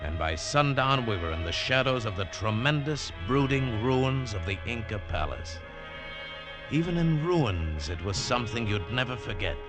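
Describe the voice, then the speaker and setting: A man's voice: medium pitch, very animated, moderate pace, lightly acted. A man playing a tough, wary American adventurer who narrates his treasure hunt in clipped, hardboiled 1940s radio style. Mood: suspenseful. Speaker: lead actor and narrator. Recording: old 1940s radio broadcast, music behind.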